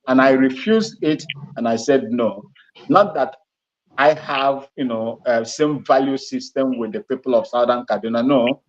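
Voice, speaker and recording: Male guest on a live call, mid-pitched, hesitant with frequent restarts; dull lo-fi line. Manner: halting, repeats words and restarts, laughs between phrases. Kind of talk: casual live call-in talk. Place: Ghana.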